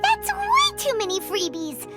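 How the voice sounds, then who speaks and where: Tiny game fairy, squeaky childlike pitch, wildly swooping delivery, perky, cheeky. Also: female voice, huge pitch swings, measured pace, over loud music, heavily acted. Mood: excited, amazed. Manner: squeaky, exclamatory, refers to herself in third person. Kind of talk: video game voice acting.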